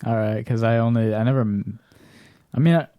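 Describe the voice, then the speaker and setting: Host: young man, mellow tone, dry sound, teasing banter. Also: medium pitch, fairly quick, dry recording. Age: young adult. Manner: teasing, joking questions. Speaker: podcast host. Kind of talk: casual podcast chat.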